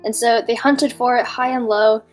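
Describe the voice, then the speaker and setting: A woman's voice: fairly high pitch, steady tone, normal speed, faint music behind. A woman reading a fairy tale aloud on a podcast in an informal, giggly way, breaking off for casual asides.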